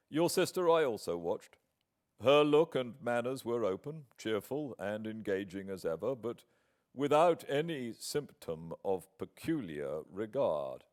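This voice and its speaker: A British man reading in the part of a proud, wounded young gentleman in a classic novel, formal, measured and emphatic. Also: on the low side. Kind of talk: dramatic audiobook reading.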